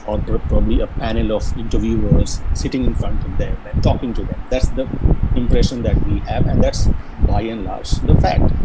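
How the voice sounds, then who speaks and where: A man's voice: mid-range voice, heavy background noise. A man from Jharkhand with a Bihari-Jharkhand Indian English accent, giving an earnest, explanatory online lecture on interview skills, with frequent restarts and repetitions. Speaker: career guidance speaker.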